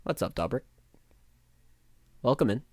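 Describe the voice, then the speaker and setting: A man's voice: medium pitch, expressive, unhurried, darkish tone, husky, no room sound. A man with a nasal voice giving blunt, sarcastic and profane live reaction commentary as a streamer.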